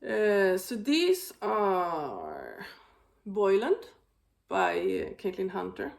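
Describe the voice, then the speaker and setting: A woman hosting a knitting video, chatting casually about her projects, with a slightly raspy voice and a rambling, filler-heavy way of talking. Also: medium pitch, wildly swooping pitch, very slow. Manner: rambling, many fillers and restarts. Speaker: knitting podcast host.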